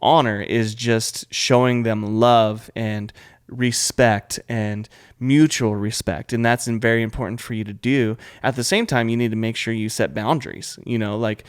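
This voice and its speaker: A man's voice: medium pitch, moderate pace, smooth, dry recording. Easygoing young man in dry podcast chat. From New Mexico. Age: young adult. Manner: relaxed, talks in loose restarts and asides. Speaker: podcast co-host. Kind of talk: casual chat with his wife.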